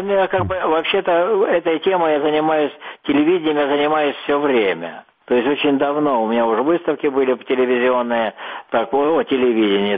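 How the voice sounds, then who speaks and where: Older male photographer, hesitant interview talk, tinny phone-quality sound. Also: medium pitch. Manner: halting, searching for words, repeating himself. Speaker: photographer interviewed about his exhibition. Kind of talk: interview answers. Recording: muffled phone-like line.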